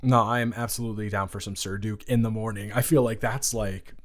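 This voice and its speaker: A man's voice: fairly low pitch, fairly even intonation, brisk, lightly acted. A young man playing a shy, good-natured college dormmate in a romantic audio roleplay, stammering and rambling hesitantly. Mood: upbeat, eager. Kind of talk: intimate romantic audio roleplay, one-sided conversation.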